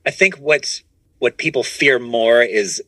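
A man talking casually as a comedian guest on a podcast, animated and often restarting his phrases. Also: medium pitch, unhurried, no room sound. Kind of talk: casual conversational interview answers.

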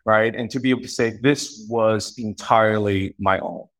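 A man from northeast China in his thirties, speaking as a guest in a relaxed interview. His voice sits a little low, and he talks in an earnest, reflective way, often doubling words as he restarts a thought. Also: normal speed. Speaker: guest, company founder. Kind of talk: casual interview conversation.

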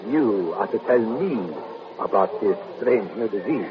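An actor playing a smug, ruthless foreign doctor in a radio drama, speaking in a measured, formal and coolly dismissive way. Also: male voice, on the low side, animated, a crowd in the background. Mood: amused. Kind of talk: old-time radio drama acting. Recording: dull vintage radio recording with music behind.

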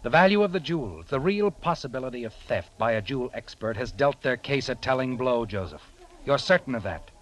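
An actor narrating an old-time radio courtroom drama in the second person, with a suspenseful, deliberate manner. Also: male voice, mid-range voice, animated, lightly acted. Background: music.

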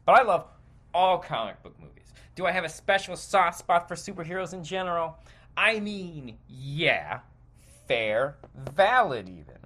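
A man's voice: a little high, lively intonation, unhurried, fairly bright tone. A man hosting a podcast, giving casual, rambling, opinionated solo commentary in a conversational way. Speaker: podcast host.

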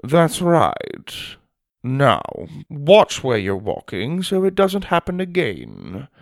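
A snobbish headmaster from a storybook, acted out by a male narrator, speaks with stretched, precise words. His pitch swoops with disdain, and there is some gravel in the voice, captured close and dry. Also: wildly swooping pitch, no echo, full character voice. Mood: stern. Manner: haughty, drawn-out, precise delivery. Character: snobbish, fancy male headmaster of a manners school.

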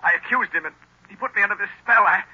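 High, very raspy strained man. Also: quick pace, sharp tone, heavily acted. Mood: anguished. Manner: emphatic, strained. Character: strained, earnest grown man in a crime drama. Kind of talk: 1930s radio acting. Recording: dull 1930s radio recording.

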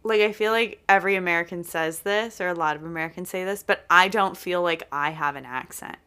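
Female podcast co-host, deep but bright voice, lively reactions and quick asides. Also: clean tone. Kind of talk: casual chat.

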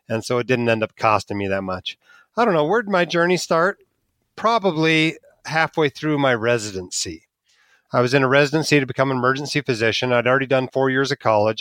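A man's voice: mid-range voice, fairly bright tone, dry recording, studio quality. A man from Alaska, a physician and blogger, telling his story candidly as a podcast guest, chuckling at himself now and then. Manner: candid, self-deprecating, chuckles while talking. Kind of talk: casual interview storytelling.

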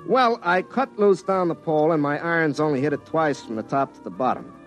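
A man's voice: on the high side, lively intonation, a little fast, faint music behind, natural voice. An actor playing a folksy young American telephone linesman and tinkerer in classic radio drama, stammering and correcting himself as he rambles. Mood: shaken, confiding. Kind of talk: classic radio drama acting and first-person narration.

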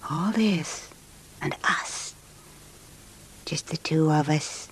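A low-voiced, yearning scheming wife. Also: female voice, extremely slow, in a whisper, rough recording. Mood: cold, intimate. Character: scheming adulterous wife, tender, yearning and coolly calculating. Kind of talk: old-time radio melodrama acting.

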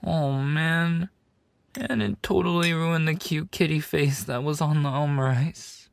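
Man playing a slow, playful femboy café server. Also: fairly high pitch, steady tone, vocal fry. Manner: teasing, cutesy, clingy, giggly. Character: playful, affectionate young animal-boy maid café server. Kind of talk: roleplay ASMR acting.

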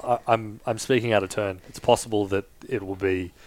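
A man guesting on a podcast, joking deadpan with mock self-importance. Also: lowish voice, fairly quick, dry recording. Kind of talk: casual chat.

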